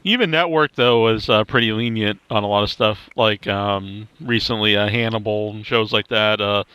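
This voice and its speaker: Guy podcast host chatting casually, bright punchy voice, a bit low. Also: normal speed, clean tone. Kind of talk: casual chat.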